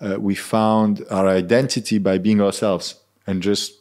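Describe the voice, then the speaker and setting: Low-voiced Swiss man in his thirties. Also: little pitch movement, unhurried, a little mellow. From Switzerland. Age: 30s. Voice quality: low-pitched voice. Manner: earnest, searching for words, frequent restarts. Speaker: band member, guest. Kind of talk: casual interview chat.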